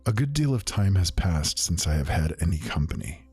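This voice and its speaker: A man voicing a sorrowful, lovelorn male traveler who speaks slowly in mournful, old-fashioned phrasing. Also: sits low, very animated, a little mellow, slightly rough, soft background music, pitched below the performer's own voice, heavily acted. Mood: courteous. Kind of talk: storytelling.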